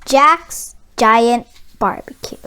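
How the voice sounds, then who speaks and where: A woman narrating a children's storybook aloud in an expressive read-aloud style. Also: fairly high pitch, very slow, slight background noise. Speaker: children's book reader.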